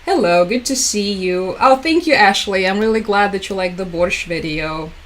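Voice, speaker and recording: A woman's voice: mid-range voice, lively intonation, normal speed, low-quality recording. Somber woman hosting a live stream. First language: Russian. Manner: somber, hesitant, many fillers and restarts; resigned, repeats "unfortunately" and "as always". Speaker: livestream host. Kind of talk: live commentary while reading chat.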